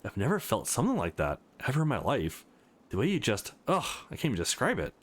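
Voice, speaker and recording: A man's voice: medium pitch, wildly swooping pitch, fast talker, slightly rough. A man voicing a caring, earnest young male student hero in a visual novel, with a reassuring manner. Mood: sheepish, admiring.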